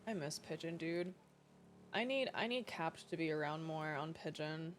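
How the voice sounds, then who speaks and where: Casting: woman, English not her first language, low voice with a very lively swing, chatty streamer who curses and laughs easily. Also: moderate pace, slight background noise. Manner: chatty, swears freely, laughs often. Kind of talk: casual chat with stream viewers.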